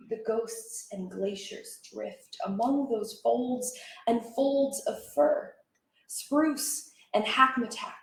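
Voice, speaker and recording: A woman reciting poetry as a dramatic reader, with measured, expressive and deliberate phrasing. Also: fairly high pitch. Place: indoor library event room. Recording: echoey room, live reading.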